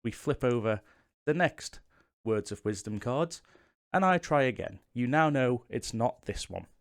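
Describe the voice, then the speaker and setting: A man's voice: medium pitch, lively intonation, a little slow. A man hosting a tutorial, explaining game rules to the viewer in a casual, chatty, self-deprecating way. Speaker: host of a board game tutorial channel. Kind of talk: casual how-to-play explanation to camera.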